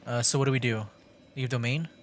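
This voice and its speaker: A man's voice: medium pitch, fairly even intonation, fairly quick, slight background noise. Casual male game streamer. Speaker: gaming livestreamer. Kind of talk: casual game commentary, reading dialogue choices aloud.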